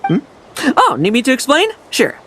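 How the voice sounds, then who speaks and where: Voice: high-pitched, wildly swooping pitch, moderate pace. A sly young knight, his voice swooping widely as he teases. His wry lines sound light and amused in this game scene, with faint noise behind him. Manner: playful, wry and teasing. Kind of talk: video game voice acting.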